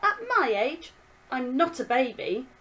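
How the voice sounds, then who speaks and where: A woman voicing an upset young girl who pleads and shouts at her father in a storybook reading. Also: very high-pitched, huge pitch swings, a little slow, voice pushed up, heavily acted. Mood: angry.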